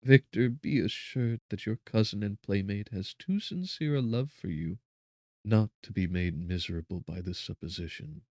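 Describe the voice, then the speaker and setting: An anxious, devoted young woman voiced by a man speaks in an earnest, pleading way, as in an audiobook of an old novel. The voice sits fairly low, and the sound is dry with little room. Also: a little mellow, dry recording. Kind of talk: dramatic audiobook reading.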